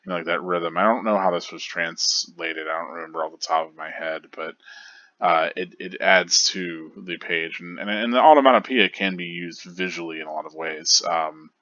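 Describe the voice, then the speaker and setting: Deep-voiced male video essayist, rambling and hesitant, dry slightly muffled recording. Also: normal speed, sharp tone, no room sound. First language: not Japanese. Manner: rambling, hesitant, many fillers and restarts. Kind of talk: casual commentary on manga pages.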